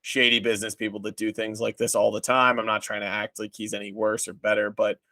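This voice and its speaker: A man's voice: lowish voice, flat delivery, fast, pushed and bright, no room sound, clean studio sound. A man hosting a casual podcast chat about television, explaining things informally with asides. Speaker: podcast host reviewing a TV show.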